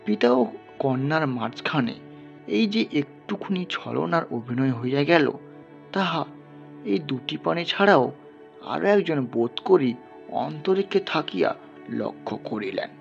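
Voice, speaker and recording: A man's voice: a little high, animated, unhurried, vocal fry, pauses often, lo-fi sound. A man reading a literary story aloud as dramatic narration. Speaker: story reader. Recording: band-limited, music underneath.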